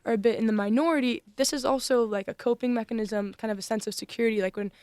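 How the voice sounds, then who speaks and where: A woman's voice: fairly high pitch, fast talker, a little mellow, dry recording. A young woman from Northern California, a student club member, speaking earnestly in a discussion with frequent restarts. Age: young adult. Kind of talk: conversational discussion.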